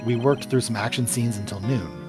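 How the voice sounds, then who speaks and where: A man's voice: medium pitch, a little fast, lightly acted. A man voicing a mild, earnest TV action star in a video game, politely explaining himself. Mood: sober recounting. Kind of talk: live fan voice-over of a video game. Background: music.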